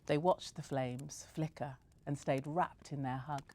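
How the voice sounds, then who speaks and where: A woman reading a story aloud in a gentle, measured way. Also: low voice, steady tone. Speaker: story reader. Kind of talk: storybook narration.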